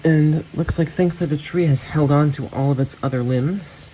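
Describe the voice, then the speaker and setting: A man's voice: a little high, normal speed, warm tone. A man giving casual, rambling commentary to camera as an enthusiastic radio hobbyist, chatting with friends. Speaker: video creator reviewing weather radios. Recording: noisy amateur recording with storm ambience.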